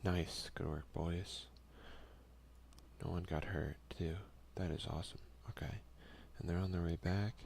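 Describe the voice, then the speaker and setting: Deep gravelly man doing ASMR game commentary. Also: steady tone, measured pace, darkish tone, a little hiss or hum. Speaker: gaming video host. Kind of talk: casual let's play commentary in ASMR style, partly reading game text aloud. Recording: music behind the voice.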